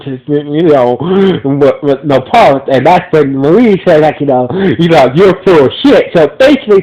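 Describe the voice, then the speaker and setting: Male podcaster, stuffy nose, higher-pitched voice, halting recap full of fillers. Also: mellow, poor audio. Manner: halting, many fillers and restarts. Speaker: anime review podcaster. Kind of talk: rambling episode recap.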